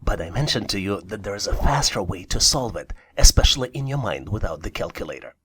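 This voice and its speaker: A man's voice: fairly low pitch, expressive, fairly quick, background noise. A man narrating a scripted puzzle tutorial as an instructor, encouraging and speaking directly to the listener. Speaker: online test-prep instructor.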